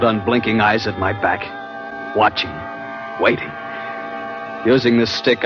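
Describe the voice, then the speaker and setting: A man's voice: fairly low pitch, natural voice. An actor playing an anxious, haunted American man who tells a sea suspense tale in tense, dramatic 1940s radio style. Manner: tense, brooding, dramatic narration. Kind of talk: 1940s radio drama acting and first-person narration. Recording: muffled old radio recording, loud organ music.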